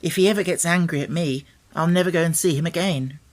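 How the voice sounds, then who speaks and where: A man's voice: fairly high pitch, fast talker, lightly acted. A man voicing an inquisitive young English girl in an audiobook, direct and thoughtful. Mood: wondering.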